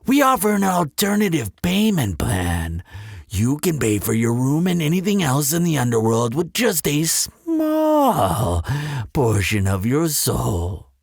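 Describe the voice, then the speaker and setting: Demon front-desk clerk for an audio roleplay, male actor: lilting, creaky delivery, courteous salesman with a sly, coaxing streak. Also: a little high, huge pitch swings, no echo, clean studio sound, exaggerated voice. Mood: coaxing, reassuring. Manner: unhurried, polite, coaxing salesmanship. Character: smooth-talking male demon hotel receptionist, courteous and slyly persuasive. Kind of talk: scripted audio roleplay addressed to the listener.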